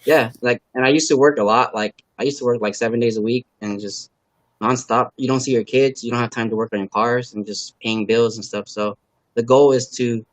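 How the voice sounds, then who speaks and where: A man from Los Angeles, a native Khmer speaker, chats as a guest on a live stream. He talks in a relaxed, halting way, stacks up fillers and circles back on himself. His voice sits in an ordinary middle pitch, and the recording is dry, with no room sound. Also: no room sound, rough recording. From Los Angeles, USA. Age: adult parent. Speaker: guest, car builder and YouTuber. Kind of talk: casual interview chat.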